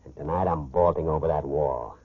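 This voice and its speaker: An actor playing a wily, boastful convict and fugitive killer in a 1940s radio crime drama. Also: male voice, extremely low pitch, fairly even intonation, a little fast. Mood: sly, confident. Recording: muffled 1940s radio broadcast recording.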